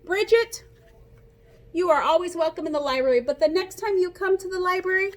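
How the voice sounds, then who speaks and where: Woman voicing a high-pitched, polite but firm librarian. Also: brisk. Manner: courteous, firmly admonishing.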